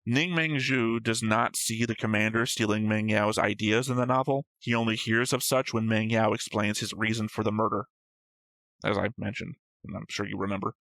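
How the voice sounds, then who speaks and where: A man's voice: lowish voice, steady tone, moderate pace, a bit bright, no room sound, poor audio. A man hosting a reaction show, reading out notes and discussing them casually in a matter-of-fact, slightly pedantic way.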